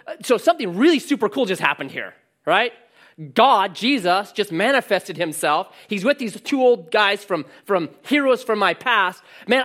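A man preaching a sermon with a loud voice that carries, telling stories and cracking jokes. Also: high-pitched. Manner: animated storytelling with jokes. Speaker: pastor.

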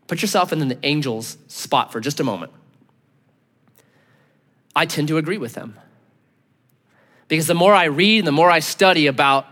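A man preaching a sermon as a pastor, earnest and emphatic, dropping to low, intense tones for weight. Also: bright, forceful tone. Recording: long hall reverb.

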